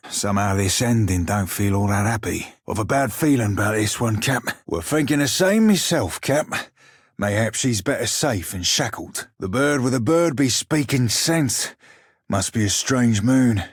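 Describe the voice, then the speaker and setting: An actor playing a small, roguish, salty pirate shiphunter in a video game, with a husky, rough voice and wry nautical slang. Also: male voice, mid-range voice, no room sound, studio quality. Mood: dry, sardonic. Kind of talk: video game character voice lines.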